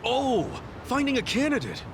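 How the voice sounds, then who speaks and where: A man's voice: very high-pitched, sing-song, moderate pace, vocal fry, noisy background, heavily acted. An actor playing a hot-headed, earnest underdog yakuza in an English video game dub, blunt and colloquial in his delivery. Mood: excited.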